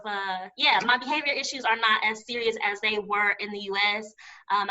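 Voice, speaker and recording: A woman from Alabama hosts a video-call chat. Her voice is bright and sits a little high, and she speaks in a friendly, direct way with a few fillers. She sounds slightly distant from her microphone. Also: highs cut off. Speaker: host and teacher. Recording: voice at a distance from the mic.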